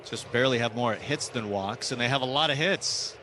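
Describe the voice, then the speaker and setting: A man's voice: medium pitch, brisk, background noise. A man with an American accent calling live baseball play-by-play on radio in short, clipped phrases.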